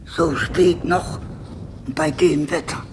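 An actor playing a fearful, resigned old English lord with a thin, quavering voice in a 1950s German radio play. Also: male voice, a little high, steady tone, slow. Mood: uneasy dread. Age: older. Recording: old radio recording, faint hiss.

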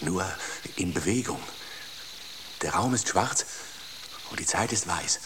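An actor playing an obsessed visionary artist in a radio drama, with an emphatic, incantatory and repetitive way of talking. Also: male voice, deep voice, lively intonation, slow. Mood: rapt. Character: visionary, obsessed male artist, once a theoretician, rapturous. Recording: clear background noise.

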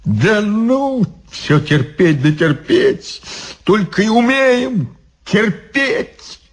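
A man's voice: medium pitch, animated, very slow, a little mellow, pitched above the performer's own voice. A man voicing a young, impulsive man who exclaims and pleads in a strained way. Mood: anguished.

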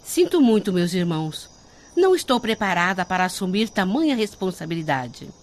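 A woman's voice: on the low side, very animated, normal speed. An actress playing a frightened, apologetic devout lady in a radio drama, agitated yet formal.

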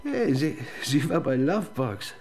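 A man's voice: medium pitch, big pitch swings, measured pace, a little mellow, slight background noise. An actor playing an awkward male police assistant in a radio crime drama, who stammers when questioned. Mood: chatty. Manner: flustered, stammering when pressed.

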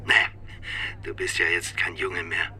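An actor playing an ageing, sardonic Soviet ex-intelligence officer in a radio play, blunt and familiar in manner. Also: male voice, low-pitched, completely flat delivery, moderate pace, bright, forceful tone, a little hiss or hum. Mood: sardonic familiarity. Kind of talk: German radio play dialogue.

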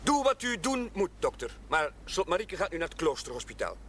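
A conscientious curate in a seventies TV drama. His voice is high, earnest and polite, over faint noise. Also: sing-song, brisk, fairly bright tone. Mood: resolute. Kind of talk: 1970s television drama acting. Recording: faint noise on old TV audio.

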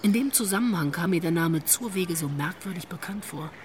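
A woman's voice: fairly low pitch, animated, brisk, darkish tone, a little hiss or hum, lightly acted. An actress playing a wry, worldly police investigator in a radio drama, telling what she knows in a knowing manner. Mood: teasing, confiding. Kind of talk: naturalistic radio drama acting.

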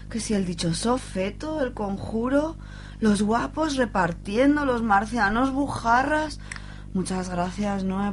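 Actress as jittery, innocent chatterbox, female, in radio soap comedy; slightly high, hesitant, noisy background. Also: lightly acted. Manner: flustered, hesitant. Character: nervous, naive, chatty young woman. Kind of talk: amateur comic radio soap acting. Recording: clear background noise with hum.

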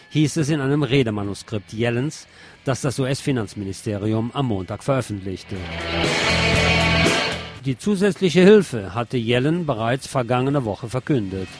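A man's voice: medium pitch, lively intonation, moderate pace, a little mellow, soft background music. A man presenting on the radio, reading scripted news and adding commentary.

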